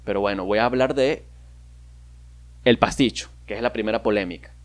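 A man's voice: lowish voice, a little fast. Young maracucho guy rambling on his podcast, venezuelan accent, jokey and loose. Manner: rambling, self-mocking, with frequent fillers and laughs. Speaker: podcast host. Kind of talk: casual solo podcast chat.

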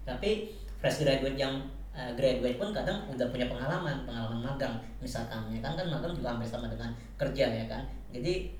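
Young jakarta guy talking super quick, slangy pep advice, really echoey room, mic kinda far off. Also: medium pitch, lively intonation, a little mellow. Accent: Jakarta Indonesian. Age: 20s. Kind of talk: casual conversational talk, motivational advice. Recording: echoey room, distant mic, faint noise.

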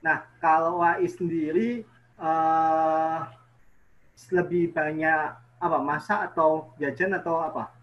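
A man's voice: fairly high pitch, fairly even intonation, slow. A man from Indonesia hosting a casual interview, friendly and full of fillers and repeated okays. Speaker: interview host. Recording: slightly dull lo-fi sound.

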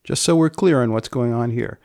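A male podcast host with a gravelly, creaky voice, recorded very dry and close. He explains things in deliberate, broken-up phrases, keeping a straight face through wry asides. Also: medium pitch, no room sound. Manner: deadpan, deliberate, phrase-by-phrase delivery.

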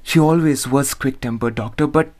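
A man's voice: mid-range voice, normal speed, natural voice. A man playing a worried magician husband in an audio play, subdued and hesitant. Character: worried husband, a stage magician, visiting asylum. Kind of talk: amateur audio play acting.

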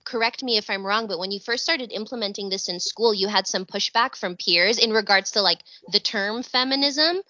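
A woman's voice: mid-range voice, normal speed, hardly any pauses, no room sound. A woman co-hosting a podcast, asking interview questions and praising her guest. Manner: warmly encouraging, praising. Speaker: podcast co-host. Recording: dry, dull lo-fi sound.

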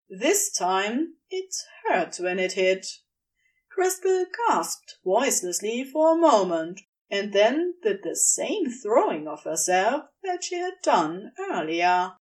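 A woman's voice: mid-range voice, sing-song, takes it slowly, far from the mic. A woman reading fiction aloud as a scripted story narrator. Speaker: audiobook narrator.

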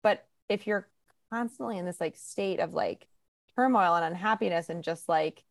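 A woman with a fairly low voice hosts a casual podcast. She speaks emphatically and gives her opinions in loose, conversational phrases peppered with "like". The sound is dry, with very little room in it. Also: normal speed, creaky, no room sound. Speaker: podcast host.

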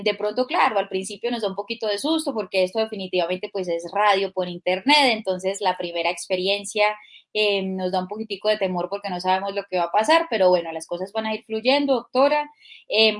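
A woman's voice: medium pitch, fairly quick. A woman co-hosting a casual podcast interview, chatty and polite, asking questions with many fillers. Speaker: co-host.

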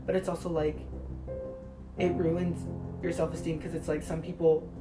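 Teen female podcast co-host, native Spanish speaker, low even voice, earnest and halting, music underneath. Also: low-quality recording. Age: teens, high school student. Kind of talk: casual chat.

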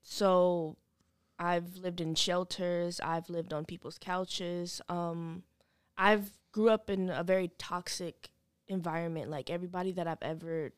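A woman's voice: fairly low pitch, steady tone, a little slow, smooth, dry recording, clean studio sound. A woman in her early twenties talking candidly and informally as an interview guest. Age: early 20s. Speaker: podcast guest, program client. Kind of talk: candid personal conversation.

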